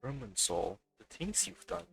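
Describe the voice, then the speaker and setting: A man's voice: medium pitch, big pitch swings, normal speed, vocal fry, over music. A man streaming a video game, giving casual commentary and reading bits of text aloud.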